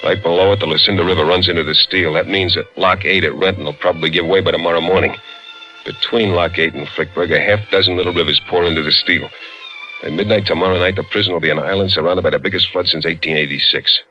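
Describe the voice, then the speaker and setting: A man plays a determined American convict in a hard-boiled 1950s radio play. His voice is very low and the words come fast and clipped. The recording is dull, with music faintly in it. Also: steady tone, lightly acted. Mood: urgent, insistent. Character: tough, determined convict planning a prison break.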